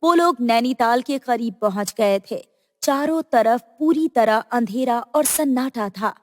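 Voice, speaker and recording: Female horror narrator: scripted storytelling, unhurried delivery, reverberant finish. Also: on the high side, animated, studio quality.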